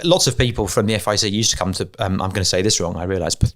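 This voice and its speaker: A man hosting a podcast interview, chatty and joking, asking quick follow-up questions. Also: low-pitched, fairly bright tone. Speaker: podcast host. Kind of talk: conversational interview.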